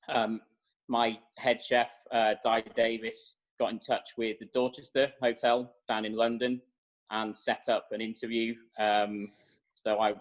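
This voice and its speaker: Man, 30s, flat even delivery, steady, fillers, video call. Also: fairly low pitch, poor audio. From North Wales. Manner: steady, level, with many fillers and restarts. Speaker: executive chef, guest. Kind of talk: interview answers on a webinar.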